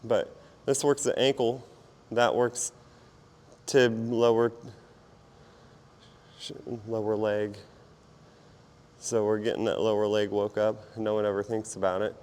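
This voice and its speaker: Hoarse, lower-pitched man chatting casually mid-workout. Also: flat delivery, takes it slowly, long pauses, a little mellow. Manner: informal, self-deprecating, rambling while exerting himself. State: hoarse, partly lost voice. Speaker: fitness vlogger. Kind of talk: casual workout vlog chat while exercising.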